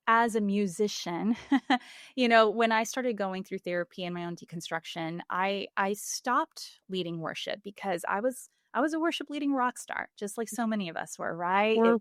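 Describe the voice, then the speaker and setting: A woman's voice: mid-range voice, moderate pace, no room sound, clean studio sound. A woman in her forties from Puerto Rico, a singer and voice coach, speaking candidly and reflectively in a podcast interview, with frequent fillers and laughs. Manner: earnest, reflective, laughs often, many fillers.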